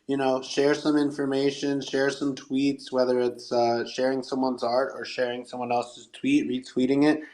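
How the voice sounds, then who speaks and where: A man's voice: mid-range voice, darkish tone. A man hosting a live audio show in an upbeat, encouraging and slightly salesy way.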